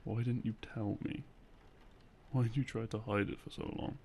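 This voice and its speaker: Gravelly, hushed boyfriend, acted by a man. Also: on the low side, steady tone, moderate pace. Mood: gently questioning. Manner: soft, hushed, close and coaxing. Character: loving boyfriend, gently teasing, a little jealous. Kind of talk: intimate ASMR boyfriend roleplay. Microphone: close binaural microphone. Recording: close binaural recording, faint noise.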